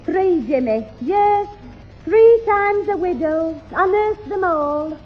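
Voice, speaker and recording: A woman's voice: very high voice, expressive, slow, soft background music, full character voice. A woman playing a fussing, man-hungry widowed neighbour in a 1960s radio sitcom. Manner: coaxing, baby-talking, gushing. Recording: dull 1960s radio recording.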